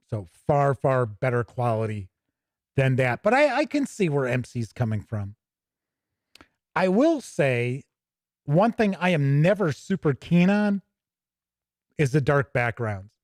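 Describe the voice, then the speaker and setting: Higher-voiced man giving a casual game review. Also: no room sound, clean studio sound. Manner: conversational, opinionated, thinks aloud and pauses mid-sentence. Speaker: host reviewing tabletop games. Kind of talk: casual unscripted product review with passages read aloud.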